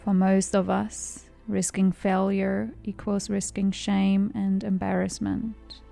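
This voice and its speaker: A woman narrating a guided meditation in a calm, soothing, measured way. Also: mid-range voice, creaky. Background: background music.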